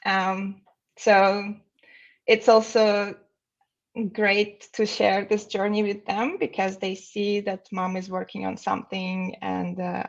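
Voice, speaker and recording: Female interview guest, Russian accent, slow halting delivery, casual, medium pitch, muffled lo-fi sound. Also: monotone, creaky.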